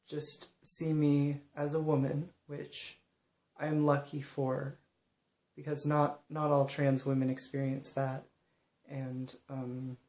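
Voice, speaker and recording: A young woman speaking softly and hesitantly in a personal monologue, pausing often. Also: sits low, reverberant. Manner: soft-spoken and hesitant, many pauses and ums. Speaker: vlogger.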